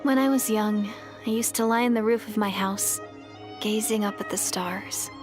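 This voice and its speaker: A woman's voice: mid-range voice, moderate pace, close to an everyday voice. An actress playing an earnest, dreamy young village woman adventurer in a video game, speaking sincerely. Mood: wistful. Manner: sincere, wistful storytelling. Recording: music playing behind.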